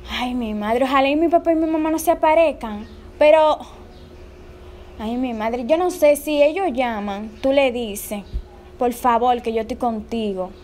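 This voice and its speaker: Young woman, high voice, anxious pleading chat, slightly noisy indoor recording. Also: moderate pace, darkish tone. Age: young adult. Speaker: prank caller, vlogger. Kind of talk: casual phone chat, feigning nervous worry. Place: indoors in a bare-walled room.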